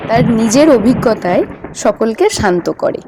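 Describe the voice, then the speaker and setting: A woman's voice: on the high side, lively intonation, moderate pace, noisy background. A woman narrator reading a scripted children's story.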